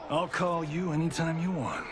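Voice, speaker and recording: An actor playing a cocky, wisecracking soldier officer, brash and flirty. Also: male voice, on the high side, normal speed, very noisy, close to an everyday voice. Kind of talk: video game cutscene acting.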